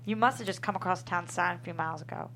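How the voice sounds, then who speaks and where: A woman's voice: fairly low pitch, quick pace, natural voice. A woman playing a combative, scornful desert-town bartender in her thirties in a comedy script read. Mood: angry scorn. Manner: sharp, combative. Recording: clear background noise and hum.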